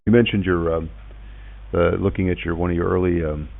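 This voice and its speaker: A middle-aged man chatting casually and reminiscing in a halting way, repeating words as he goes. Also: deep voice, fairly even intonation, vocal fry. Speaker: podcast host and ham radio operator. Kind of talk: casual radio chat, reminiscing. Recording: tinny phone-like internet radio link.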